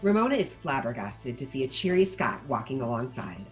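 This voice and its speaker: A woman reading stage directions as scripted narration at a table read, in an animated manner. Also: a bass voice, fairly quick, faint music behind.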